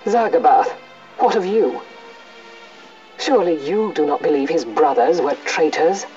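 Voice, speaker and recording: A woman's voice: low-pitched, measured pace. A woman playing a severe, outspoken imperial judge in a fantasy video game, grave, challenging and formal. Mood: accusing, grave. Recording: distant, muffled game audio under music.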